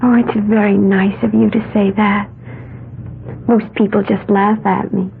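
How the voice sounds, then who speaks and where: An actress playing a dreamy, eccentric young woman in an old radio drama, musing earnestly and gently. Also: mid-range voice, level tone, a little slow, gravelly, phone-quality sound. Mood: gentle, wistful. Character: dreamy, eccentric young woman, self-styled priestess of the elements. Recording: thin, band-limited old radio recording with hiss.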